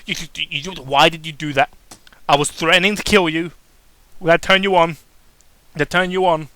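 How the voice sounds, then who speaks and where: A man voicing a volatile, murderous female cartoon pony with a threatening, forceful manner. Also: fairly high pitch, fairly even intonation, moderate pace, no room sound. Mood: angry, threatening.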